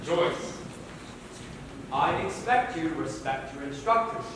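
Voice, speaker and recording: Actor as echoey, formal boarding school headmaster. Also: male voice, a little high, expressive, slow. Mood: stern. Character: courteous, paternal doctor running a strict boarding school. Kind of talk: stage play acting, formal speeches. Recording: echoey stage, distant mic, faint noise.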